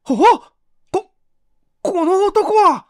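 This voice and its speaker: A man's voice: very high-pitched, extremely slow, fairly bright tone, dry recording, studio quality, voice pushed up, full character voice. A man voicing a frightened, flustered witness with stammering, breathless exclamations in a mystery audiobook. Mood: terrified. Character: frightened, flustered man who found a corpse. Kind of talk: dramatized mystery audiobook reading.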